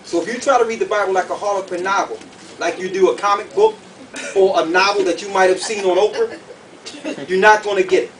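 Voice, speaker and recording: A man preaching to a group in an animated, colloquial way, emphatic and full of rhetorical questions. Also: sits high, brisk, recorded from a distance. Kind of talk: animated sermon with questions to the audience. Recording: noisy room, picked up from afar.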